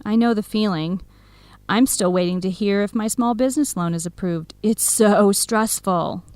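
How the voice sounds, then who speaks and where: A woman's voice: mid-range voice, moderate pace, darkish tone, clear, resonant tone, dry recording, close to an everyday voice. A woman playing a supportive, frank young best friend in a radio drama, with a direct, encouraging, conversational manner. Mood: sympathetic. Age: young adult. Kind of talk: scripted radio drama dialogue.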